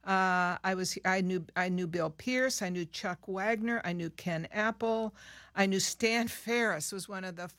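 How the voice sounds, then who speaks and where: A woman's voice: mid-range voice, unhurried, no echo, clean studio sound. An older woman who grew up in Peru, reminiscing in a casual interview about her years writing for radio, rambling with restarts. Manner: rambling, warm storytelling with restarts. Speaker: guest, former radio writer.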